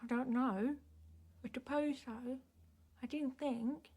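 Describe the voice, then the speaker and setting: A woman's voice: high voice, fairly even intonation, takes it slowly, darkish tone. A woman acting a young, earnest and frightened deaf girl in a naturalistic radio drama, speaking hesitantly in short, plain answers. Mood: hesitant, guarded.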